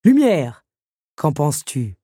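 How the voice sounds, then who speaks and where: A woman's voice: low-pitched, big pitch swings, slow pace, mellow, husky, dry recording, clean studio sound, pitched below the performer's own voice, full character voice. A woman voicing an awkward, kindly male beast who is master of a castle, in an audiobook story. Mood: proud.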